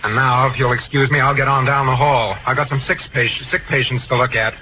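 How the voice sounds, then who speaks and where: A man's voice: mid-range voice, level tone, a little fast, natural voice. A man acting a brusque, affectionate, bantering doctor in a 1940s radio drama. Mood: brusque, fond. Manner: brusque, mock-threatening. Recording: dull old radio recording, faint noise.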